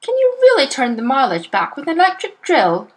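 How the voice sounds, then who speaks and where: A woman's voice: sits high, wildly swooping pitch, brisk, pitched above the performer's own voice. A woman voicing a curious young boy who asks eager questions.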